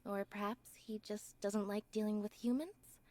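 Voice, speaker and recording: A woman voicing a reserved, composed young video game character in a measured, understated way. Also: mid-range voice, fairly even intonation, a little mellow, dry recording, lightly acted. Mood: reflective, reserved. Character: reserved young woman, composed stage magician's sister, softly aloof.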